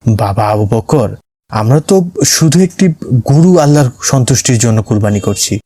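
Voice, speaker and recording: A man's voice: mid-range voice, animated, normal speed, mellow, no echo, natural voice. A man voicing a patient, devout father who explains and tells stories to his son in an Islamic cartoon. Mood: solemn storytelling. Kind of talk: Islamic cartoon dialogue, storytelling.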